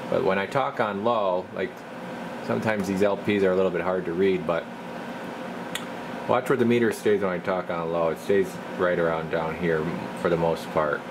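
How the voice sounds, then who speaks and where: A man's voice: low voice, normal speed. A man casually narrating a hands-on radio demonstration, talking offhandedly to himself as he works. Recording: steady loud equipment and room hum.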